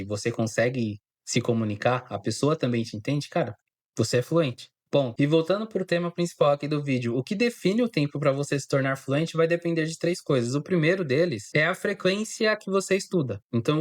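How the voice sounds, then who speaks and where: Brazilian guy teaching english, chatty and direct, slightly high voice, dead dry room. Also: no room sound. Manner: casual, talks straight to the viewer, says "cara" a lot. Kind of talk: direct-to-camera lesson.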